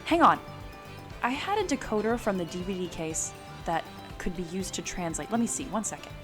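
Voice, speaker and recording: A woman's voice: mid-range voice, animated, brisk, over music, natural voice. A woman playing a curious, enthusiastic and slightly bossy adventurer in an audio drama. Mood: excited. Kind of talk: scripted audio adventure acting.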